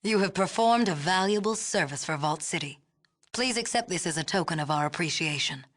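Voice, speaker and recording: A woman's voice: lowish voice, lively intonation, a little fast, a bit bright. A woman playing a stern, haughty female leader of a walled city, speaking in a formal, clipped, imperious way in a video game. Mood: formally pleased. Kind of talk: scripted video game dialogue.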